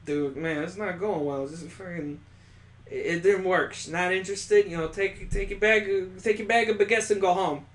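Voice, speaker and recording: A man's voice: high voice, fairly even intonation, normal speed. A man giving mocking, sweary commentary as he reads a visual novel aloud on a gaming playthrough. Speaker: gamer host reading a visual novel aloud. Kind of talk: playthrough commentary mixed with dramatic reading. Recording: echoey, roomy sound.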